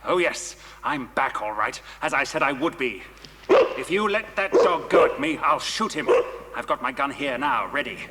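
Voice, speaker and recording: A man's voice: mid-range voice, lively intonation, moderate pace, bright, forceful tone, in a large hall, a little hiss or hum. A man playing a menacing, bossy kidnapper with a gun in a children's audio drama, barking curt orders. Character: menacing male gunman and kidnapper, harsh and bossy.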